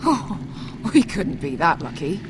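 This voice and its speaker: Gruff, sardonic mercenary woman. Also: lowish voice, very animated, measured pace. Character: tough, sardonic female mercenary on the run. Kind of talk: dramatic video game acting. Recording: game audio under loud background noise.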